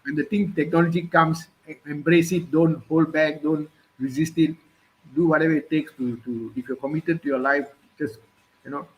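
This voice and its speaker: A man hosting a casual conversation, speaking hesitantly with many pauses and fillers. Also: on the high side, fairly even intonation, low-quality recording.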